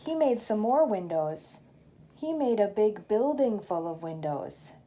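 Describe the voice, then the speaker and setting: Older woman with a mellow voice, reading aloud. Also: medium pitch, very animated, measured pace, a little hiss or hum, poor audio. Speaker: grandmother reading a children's book. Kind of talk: storybook read-aloud.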